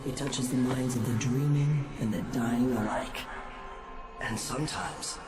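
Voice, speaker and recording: A man playing an ancient god in a video game, measured, enigmatic and detached. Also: mid-range voice, steady tone, music in the background, close to an everyday voice. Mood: cryptic calm. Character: centuries-old male god, cool, cryptic, knowing. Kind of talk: dramatic video game acting.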